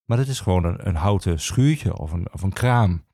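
A man with a Netherlandic Dutch accent explaining as an expert guest, conversationally and with halting, hesitant phrasing. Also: low voice, animated, warm tone, husky, dry recording, studio quality. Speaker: regional archivist, podcast guest.

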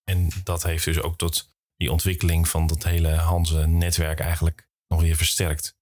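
Male interviewer for a podcast, very low voice, conversational and informal. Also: monotone, moderate pace, a little mellow.

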